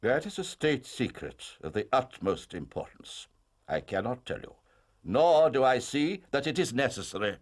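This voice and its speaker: An actor playing a stern, imperious Prime Minister with an upper-class English accent, weighty and formal, in a period drama. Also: male voice, mid-range voice, sing-song, normal speed. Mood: grave, guarded. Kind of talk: British period detective drama acting.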